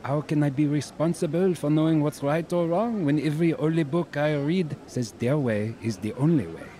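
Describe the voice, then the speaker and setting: Man acting young musician, mellow tone, earnest, thoughtful, radio drama. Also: a little high, moderate pace, a little hiss or hum, natural voice. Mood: weary, searching. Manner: thoughtful, sprinkles French words. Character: earnest, searching young French musician, soft-hearted and thoughtful.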